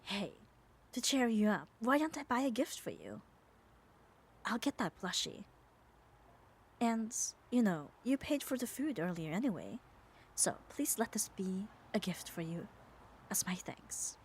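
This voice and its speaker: A woman playing a muscular, devoted, gently dominant yandere girlfriend in an ASMR roleplay, speaking tenderly and soothingly and often dropping to a whisper. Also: medium pitch, sing-song, a little slow, pauses often, husky, full character voice. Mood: tender, reassuring. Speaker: ASMR voice performer. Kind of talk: ASMR roleplay, soft intimate acting.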